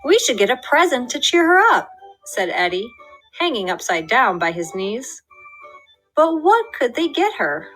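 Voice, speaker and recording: A woman narrates a children's picture book with lively expression, giving the characters' lines a little color. Her voice is bright and crisp and sits slightly high. Soft music plays quietly underneath. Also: a little slow, low-quality recording. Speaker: storybook reader. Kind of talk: children's read-aloud narration.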